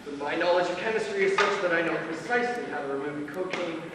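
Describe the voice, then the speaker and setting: A man plays a smug, pompous druggie who fancies himself a chemist, in a live stage play. His high voice explains everything pedantically. It comes from far off in a reverberant, noisy hall. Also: fast talker, close to an everyday voice. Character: smug male drug user, pompous self-styled amateur chemist. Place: stage of a small theatre.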